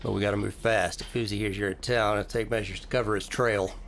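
A man playing a gravelly, steady police captain. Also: lowish voice, fast, slight background noise. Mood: brisk, official. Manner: businesslike, plain-spoken. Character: steady, brisk, fatherly male police captain. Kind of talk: audio drama dialogue.

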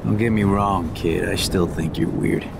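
Rough American dealer, thirties, low gravelly voice, halting, wounded, game drama, loud storm rumble behind. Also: male voice, steady tone. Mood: sad, reflective. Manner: halting, emotional, coarse-mouthed. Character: rough, grieving drug dealer, regretful and wounded. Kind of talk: dramatic video game acting.

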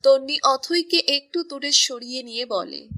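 A woman narrating a scripted romance story in an even storytelling manner. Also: sits high, a little slow.

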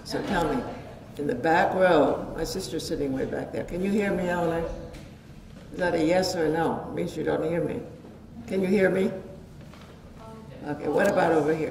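Hoarse chatty older woman lecturing. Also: low-pitched. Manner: chatty, animated, gestures a lot. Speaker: guest lecturer. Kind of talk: informal public talk. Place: community meeting room. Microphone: stand mic at a podium. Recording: roomy hall sound, distant mic, audible hiss.

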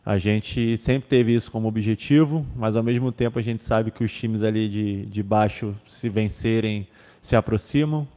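A man's voice: on the low side, normal speed, warm tone, big-room sound. A young man, a football defender, answering press questions in a casual way, with many fillers and restarts, into a table microphone. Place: club press room with sponsor backdrop. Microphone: table microphone on stand.

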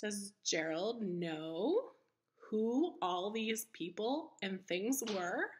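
A woman's voice: mid-range voice, lively intonation, extremely slow. A middle-aged woman reading a picture book aloud to children and putting questions to them, recorded in a home kitchen. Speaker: storytime reader.

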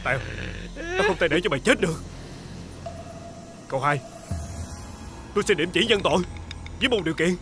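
A man's voice: sits high, animated, a little fast, pauses often, over music. An actor playing a defiant, loyal poor young man held captive, earnest and bitter, with a southern Vietnamese accent and dramatic period delivery. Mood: resigned, pleading.

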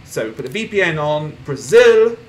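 A man's voice: fairly high pitch, sing-song, moderate pace. Chatty young man narrating a game. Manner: chatty, reads on-screen posts aloud, laughs softly. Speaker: gaming streamer. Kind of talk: casual game playthrough commentary. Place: small room at home, indoors.

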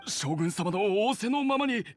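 Earnest, impassioned young clan heir. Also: male voice, sits high, expressive, fairly quick, soft background music. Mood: earnest, pleading. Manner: earnest, formal, pleading. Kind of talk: Japanese anime game voice acting.

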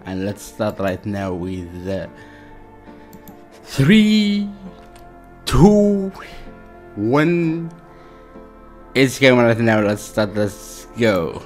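Deep-voiced excited man from Algeria. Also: extremely slow, long pauses, faint music behind. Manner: enthusiastic, repeats exclamations, simple English. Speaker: reaction channel host. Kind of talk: excited reaction commentary. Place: small indoor room before a dark backdrop.

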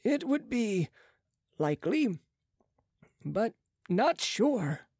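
A man's voice: high-pitched, very animated, extremely slow, darkish tone, husky, no room sound, studio quality, voice pushed up, full character voice. A man voicing a sceptical, mocking male physician who declaims in an audiobook. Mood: challenging. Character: sceptical, scornful male physician with materialist views. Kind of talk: audiobook character voice.

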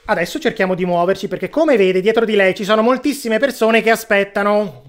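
Brisk, sardonic afterlife clerk, acted out by a performer. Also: mid-range voice, lightly acted. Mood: amused, matter-of-fact. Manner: matter-of-fact, sardonic. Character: brisk, wry afterlife clerk handling a queue.

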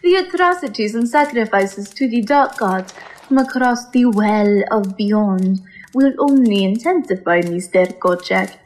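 Woman playing a slow, seductive vampire lady. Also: medium pitch, darkish tone, close to an everyday voice, low-quality recording. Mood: ominous. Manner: slow, formal, theatrical. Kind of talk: audio drama acting.

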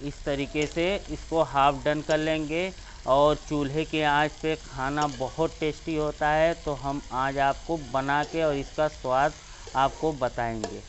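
A man's voice: on the high side, fairly even intonation, unhurried, slight background noise. Man explaining a cooking recipe. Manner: explains each step, points things out. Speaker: cooking channel host. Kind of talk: step-by-step cooking demonstration. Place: outdoors on a brick courtyard beside a clay stove.

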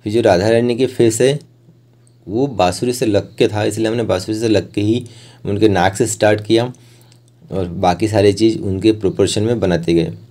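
A young man hosts an art tutorial in a lowish, very mellow voice. He talks through each step in a plain, explanatory way. Now and then he pauses mid-sentence to find the next word. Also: fairly even intonation. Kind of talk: step-by-step instructional voice-over.

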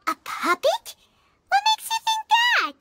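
An excitable, indignant little fairy girl in a cartoonish fantasy game. Her squeaky, childlike voice is extremely high and leaps wildly in pitch. Noise is clearly audible behind her. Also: measured pace, full character voice. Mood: startled, excited. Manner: exclamatory, inquisitive. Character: tiny childlike female floating fairy companion, excitable, indignant. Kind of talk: cartoonish video game acting.

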